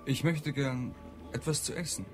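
An actor playing a puzzled, impatient restaurant customer with a questioning, hurried manner in a radio drama. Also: male voice, mid-range voice, over music, lightly acted.

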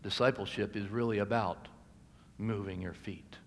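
Older american pastor guy in his 60s preaching slow and deliberate at a pulpit mic, big echoey church. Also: lowish voice, fairly even intonation. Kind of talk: sermon. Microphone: gooseneck pulpit microphone. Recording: reverberant hall, amplified pulpit sound.

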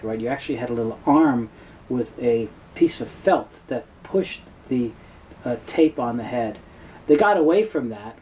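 A man in his fifties giving an informal technical explanation to camera, halting and often repeating words as he restarts. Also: medium pitch, rough recording. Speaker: host, tape dealer and restorer. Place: small cluttered indoor office or workshop. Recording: steady room noise.

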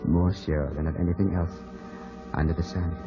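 An impulsive, lovestruck young gentleman in a vintage radio play. His low voice is earnest and formal, pressing with intensity. The old broadcast is muffled, with music underneath. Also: little pitch movement, normal speed. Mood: tender, earnest. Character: ardent, impulsive young man of twenty-five in love. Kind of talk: 1950s radio drama acting.

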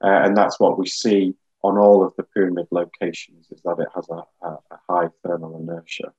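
Low, slightly muffled man explaining. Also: monotone, a little fast, a little mellow, breathy edge. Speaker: geologist guest. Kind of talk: explaining in a webinar. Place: small room, indoors, on a video call.

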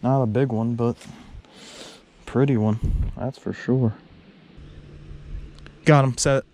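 A man with a mellow voice narrates his fishing trip by a lake. His commentary is casual, and it jumps loud and high whenever he hooks a fish. It is caught on a head-mounted camera, with faint outdoor noise behind him. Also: slow. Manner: excitable, gets loud and high when fish bite. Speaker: fishing vlogger. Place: outdoors by a lake below a dam. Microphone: head-mounted action camera.

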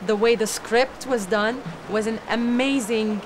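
A young woman from Lebanon, an actress, giving earnest, deliberate interview answers. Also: on the high side, fairly even intonation, background noise.

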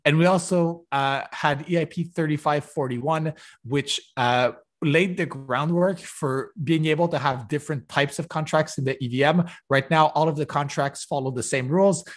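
A man giving a technical keynote over a video call, halting with many fillers and restarts. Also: on the high side. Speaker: conference keynote speaker. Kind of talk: technical conference talk. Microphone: video call webcam.

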